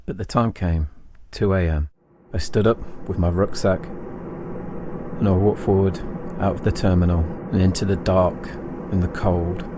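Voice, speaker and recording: A middle-aged English man tells an autobiographical story in a reflective way, phrasing it deliberately in short lines. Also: sits low, fairly even intonation, noisy background. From England. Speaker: podcast host and narrator. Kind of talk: autobiographical storytelling, scripted narration. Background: instrumental music.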